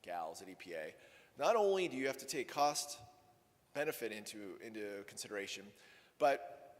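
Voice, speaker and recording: A man giving a conversational, wry conference talk on policy, often correcting himself mid-sentence. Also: mid-range voice. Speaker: energy policy speaker. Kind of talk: conference presentation with Q&A. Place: conference hall. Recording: long hall reverb.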